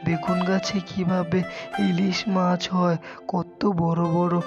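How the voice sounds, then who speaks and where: A man's voice: high-pitched, dull audio. Man acting tender village father, music underneath. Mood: amazed. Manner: soothing, coaxing, exclamatory. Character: tender, devout village father and poor farmer. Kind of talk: cartoon voice acting, drawn-out storytelling delivery.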